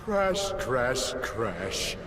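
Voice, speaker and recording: An actor playing a pompous, whiny, easily flustered mad scientist in a cartoonish video game, with theatrical delivery. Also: male voice, medium pitch, big pitch swings, drawn-out words, music in the background. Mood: exasperated, taunting.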